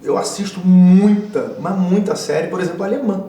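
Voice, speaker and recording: A man's voice: sits high, normal speed, dark tone, roomy sound, recorded from a distance, slight background noise, poor audio. A man, an actor answering interview questions in a casual, enthusiastic, slangy way.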